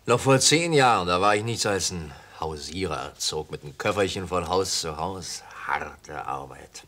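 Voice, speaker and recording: A travelling textile salesman in a radio play. His voice is low and his manner jovial and self-satisfied. He boasts and chuckles along, wholly unsuspecting. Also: lively intonation, unhurried, bright, forceful tone, exaggerated voice. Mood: wistful, proud. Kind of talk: German radio play acting.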